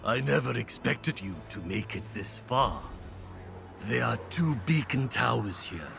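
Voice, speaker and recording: A man's voice: mid-range voice, lively intonation, a little slow, vocal fry, fairly bright tone, slight background noise, heavily acted. A man playing a grave, formal old man of principle in a video game cutscene. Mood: composed, apologetic. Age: older. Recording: background music under the voice.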